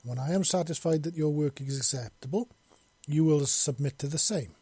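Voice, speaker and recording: An imperious bird-woman mistress from an audiobook tale, performed by a man. She speaks formally and precisely, curious yet used to giving orders. The voice is a little rough and mellow, recorded very dry. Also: mid-range voice, normal speed, dry recording, lightly acted. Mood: commanding. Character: imperious, curious female bird-creature mistress, commanding and innocent.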